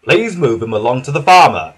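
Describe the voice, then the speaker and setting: Storybook: brisk, official policeman, male reader. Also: medium pitch, animated, fairly bright tone, husky. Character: dutiful, plain-spoken male policeman.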